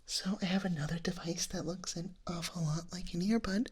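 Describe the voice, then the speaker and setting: A woman playing a gentle, reassuring dragon nurse in an ASMR roleplay, speaking in a soft breathy whisper with a soothing clinical manner. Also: fairly low pitch. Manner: slow, soothing, reassuring clinical bedside talk. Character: gentle reassuring female dragon nurse giving hearing exam.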